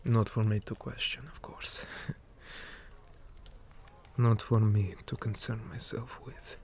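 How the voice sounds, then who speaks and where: A man playing a weary, principled orc commander in an ASMR roleplay, speaking gravely and measuredly and often dropping into breathy whispers. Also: fairly low pitch, flat delivery, slow pace, darkish tone. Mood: bitter, resigned.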